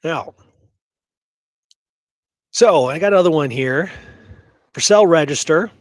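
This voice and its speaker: A man's voice: a little high, expressive, slow pace, no room sound, clean studio sound. A man hosting a podcast in a casual discussion of history, musing aloud with rhetorical questions and repeated phrases, and freely giving his opinions. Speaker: podcast host.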